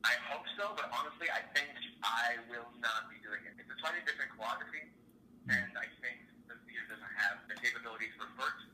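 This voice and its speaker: Bright fast-talking stage actor. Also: male voice, mid-range voice, recorded from a distance, a little hiss or hum, rough recording. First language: French. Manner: enthusiastic, quick, repeats words. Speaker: musical theatre actor, guest. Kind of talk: interview answers.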